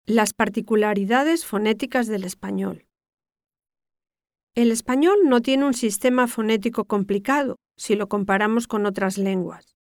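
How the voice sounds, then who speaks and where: An older woman from Spain with a Castilian accent delivers scripted educational narration in a measured, didactic way, articulating carefully. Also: mid-range voice, darkish tone, dry recording, clean studio sound. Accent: Castilian Spanish. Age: 60s or older.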